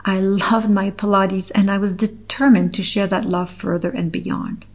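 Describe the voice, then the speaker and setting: A middle-aged woman with a French accent, a French native speaker from Switzerland, reading her own personal essay aloud in a slow, measured, earnest way. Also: mid-range voice, steady tone. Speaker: author reading her article.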